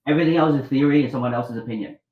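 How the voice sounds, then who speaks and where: A man's voice: mid-range voice, rapid-fire. Brief: middle-aged man from Vietnam, rambling anecdote teller, roomy echo, muffled sound. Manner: rambling, restarts, acts out quoted dialogue. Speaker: guest, doctor and author. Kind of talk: storytelling interview.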